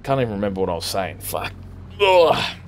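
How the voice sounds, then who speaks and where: A man's voice: lowish voice, very animated, fairly quick, a little hiss or hum. Rambling, swearing Australian gamer man. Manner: rambling, swears freely, chats to viewers. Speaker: gaming streamer. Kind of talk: casual let's-play commentary while driving.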